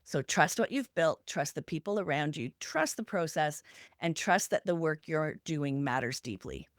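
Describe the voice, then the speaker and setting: Woman, lower voice, earnest, encouraging, podcast host, close dry recording. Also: lively intonation, no echo. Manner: earnest, encouraging, deliberate listed phrasing. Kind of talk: conversational podcast wrap-up, reflective advice.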